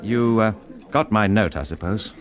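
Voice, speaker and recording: An actor playing a brooding, brusque middle-aged widowed gentleman in a radio drama, with a clipped, commanding, well-bred manner. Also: on the low side, normal speed, close to an everyday voice. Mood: courteous, contrite.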